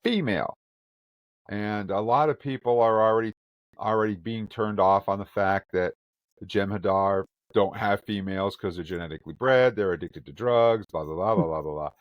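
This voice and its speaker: A man's voice: lowish voice, normal speed, no echo, dull audio. A man hosting a fan podcast, chatting casually and reading news items aloud. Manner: chatty, reads articles aloud with quotes. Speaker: podcast host.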